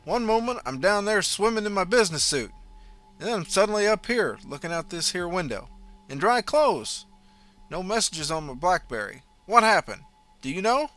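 A man voicing a greedy middle-aged landlord who has just died, speaking loudly and frantically with many questions. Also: high-pitched, big pitch swings, normal speed, soft background music, voice pushed up. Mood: frantic.

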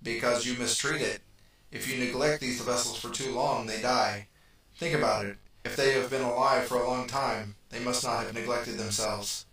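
Cold bossy alien, voiced by a man, bright tone, heavy echo. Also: mid-range voice, normal speed, recorded from a distance, faint music behind, natural voice. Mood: stern. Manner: stern, flat, commanding. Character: cold alien entity, male, bossy and impatient.

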